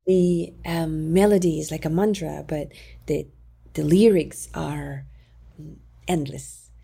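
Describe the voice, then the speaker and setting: A woman who teaches singing, talking in an interview in calm, gentle, halting non-native English with many fillers. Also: low voice, creaky, slightly rough. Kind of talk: conversational interview.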